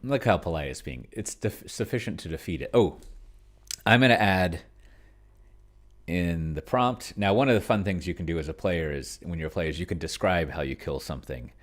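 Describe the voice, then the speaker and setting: A man casually thinking aloud as he plays a solo tabletop game, halting and muttering to himself as he types. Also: sits low, expressive. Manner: self-described pedantic. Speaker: solo tabletop player and video host.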